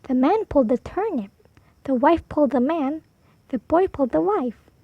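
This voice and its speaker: A woman storyteller reading a picture book aloud for preschoolers, animated, drawing out key words for emphasis. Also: high voice, normal speed, dry recording, dull audio. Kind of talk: expressive read-aloud for preschoolers.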